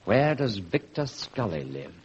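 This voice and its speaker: A man playing an eager, impulsive young police constable in an earnest, excitable vintage radio drama style. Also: on the low side, measured pace, heavy background noise. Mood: excited. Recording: muffled old radio drama recording.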